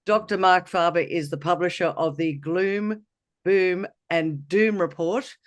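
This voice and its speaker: A man's voice: high-pitched, measured pace. A male podcast host giving chatty, upbeat introductions and asking questions in an interview.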